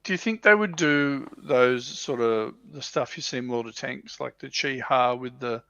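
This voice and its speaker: A man with a bright, punchy voice chats casually as a livestream co-host. His pitch is average, and the sound is very dry and slightly lo-fi, with little room around it. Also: normal speed, no room sound. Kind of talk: casual hobby chat about tabletop wargames.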